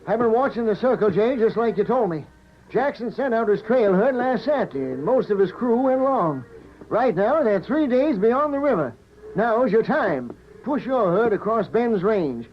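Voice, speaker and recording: High lively folksy cowboy henchman, eager, cocky, vintage radio drama, dull hissy recording. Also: normal speed. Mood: eager, gloating. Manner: drawling folksy cowboy talk, excitable. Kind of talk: 1940s radio drama acting. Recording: muffled noisy old radio recording.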